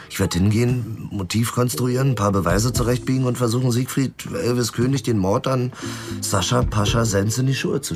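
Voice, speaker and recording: An actor playing a weary, broke, hard-boiled private investigator in a German radio drama, talking in a dry, colloquial, sardonic way. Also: male voice, lowish voice, natural voice.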